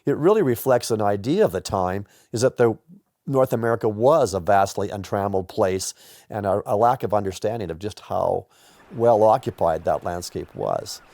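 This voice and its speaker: A man giving an explanatory interview in a measured, informative way. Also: on the low side, creaky, warm tone, no echo, studio quality.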